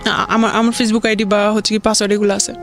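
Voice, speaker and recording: A young woman casually telling a personal story as a radio guest, in a hesitant, halting way. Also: mid-range voice, level tone, faint music behind. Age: young adult.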